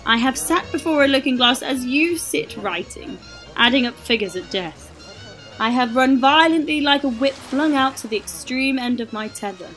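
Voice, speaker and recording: A woman's voice: high voice, lively intonation, moderate pace, fairly bright tone, faint music behind, rough recording. A woman playing a proud, vain, sensual middle-aged woman in a literary radio drama. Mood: defiant.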